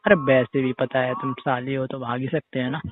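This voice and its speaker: A man's voice: medium pitch, level tone, normal speed, slight background noise. A man chatting casually on a private phone call in a teasing, persistent, questioning manner. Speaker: caller, brother-in-law. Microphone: phone call recording. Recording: tinny, muffled phone line with echo.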